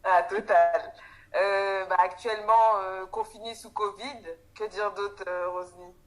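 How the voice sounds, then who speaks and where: A woman's voice: mid-range voice, measured pace, rough recording. A woman in her twenties from Haiti, a guest in a casual chat, talking with lots of laughter and interjections. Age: 20s. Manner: laughing, animated, full of interjections.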